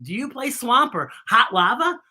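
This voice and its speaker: A woman's voice: on the high side, very animated, moderate pace, bright, forceful tone. A woman hosting a children's story time, reading a picture book aloud in a playful, theatrical way with chatty asides to the young listeners.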